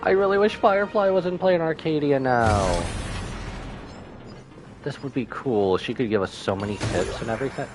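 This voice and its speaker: A man livestreaming a game with casual, slangy, excitable commentary and reactions. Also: mid-range voice, normal speed. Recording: game music behind the voice.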